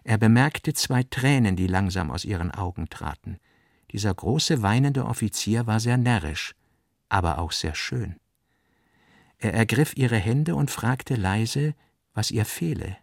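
A male narrator reads scripted literary prose for a radio drama. His voice is on the low side with a gravelly creak, recorded very dry. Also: animated, measured pace, no room sound.

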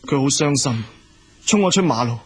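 An actor playing an earnest, forgiving young boyfriend in a radio drama, speaking Hong Kong Cantonese with emotional delivery. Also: male voice, mid-range voice, expressive, moderate pace, breathy edge. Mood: remorseful, tender.

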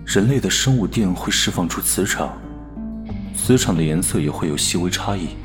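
A man playing a cool, fastidious alien parasite posing as a human in an audio drama, detached and disdainful. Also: sits low, expressive, music in the background. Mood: cold, scheming. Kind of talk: audio drama dialogue and inner monologue.

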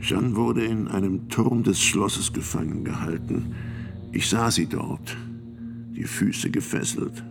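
An actor playing a fearful, solemn sixty-year-old priest and physician, giving deliberate, grave testimony in a radio play. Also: male voice, lowish voice, creaky, music in the background, heavily acted. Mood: grave, uneasy. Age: older.

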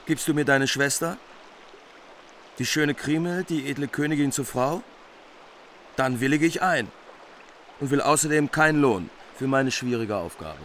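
An actor playing a bold, self-assured young knightly hero in a German radio drama. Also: male voice, medium pitch, unhurried, pauses often, a bit bright, a little hiss or hum, lightly acted. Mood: bold, bargaining. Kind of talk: German radio play acting.

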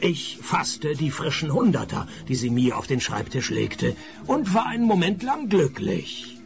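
A man's voice: a little high, big pitch swings, a little slow, vocal fry, music in the background, heavily acted. An actor playing a world-weary, sarcastic male private detective who narrates his case in a radio play. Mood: wry, weary. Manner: dry, sarcastic, laconic.

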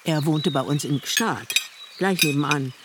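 A woman's voice: deep voice, unhurried, very noisy. An older woman playing an eccentric, prickly old mother in a radio play, blunt and needling. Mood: musing.

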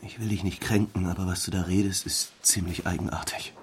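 An actor playing a sceptical academic colleague in a radio drama, cautioning in a measured, blunt way. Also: male voice, sits low, fairly even intonation, slight background noise, natural voice. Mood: sceptical, warning.

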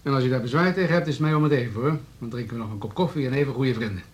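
An actor playing a hard-nosed town politician in a radio drama, businesslike, smooth and needling. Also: male voice, medium pitch, racing, close to an everyday voice. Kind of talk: Dutch radio drama dialogue.

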